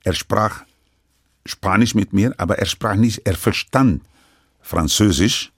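Older male interviewee, French first language, deep husky voice, dry close sound, halting delivery. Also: no room sound. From Lebanon. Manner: halting, with many restarts and broken grammar. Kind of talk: recounting his life story.

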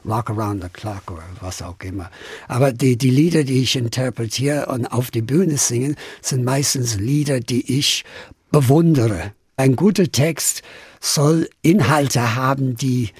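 An older Irish man from Dublin speaks slowly and thoughtfully, his voice husky and a little breathy. He hesitates often, pausing and restarting as he searches for words in a language that is not his first. The recording is dry and close. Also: mid-range voice, a little mellow, no room sound. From Ireland, Dublin. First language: English. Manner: hesitant, many pauses and slips. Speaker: guest singer-songwriter. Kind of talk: radio interview answers.

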